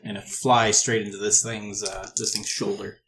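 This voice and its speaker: A man's voice: on the low side, level tone, moderate pace, heavy background noise. A man improvising descriptive narration as game master of a tabletop game.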